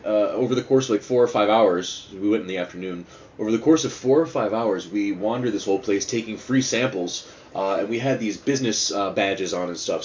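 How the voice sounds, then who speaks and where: Low-voiced man chatting, dull lo-fi sound. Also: darkish tone. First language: not Dutch, speaks English fluently. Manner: sweary, self-mocking, digressive. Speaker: podcast host. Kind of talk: casual rambling solo podcast chat. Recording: dull lo-fi sound with faint noise.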